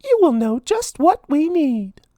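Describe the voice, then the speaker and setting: A man's voice: extremely high pitch, sing-song, slow pace, mellow, dry recording, studio quality, pitched above the performer's own voice, heavily acted. A man voicing a poor, kind and polite maid in a children's storybook, with an earnest, sweet way of speaking. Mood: reassuring. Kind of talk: children's storybook read-aloud.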